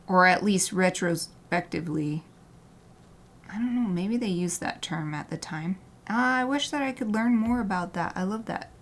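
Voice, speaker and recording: A woman artist chatting casually during a livestream as she paints, rambling and often dropping to a soft murmur, with light laughter. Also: medium pitch, lively intonation, moderate pace. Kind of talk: casual chat while painting.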